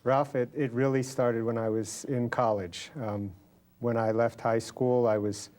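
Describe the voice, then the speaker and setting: A man's voice: mid-range voice, level tone, a little slow, darkish tone. An American man telling his personal faith story as a guest in an interview. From Los Angeles, California, USA. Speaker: interview guest, lay businessman.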